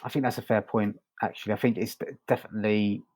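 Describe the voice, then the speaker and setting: A man co-hosting a podcast, discussing casually in a halting, conversational way. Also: lowish voice, steady tone, darkish tone, dry recording. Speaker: podcast co-host.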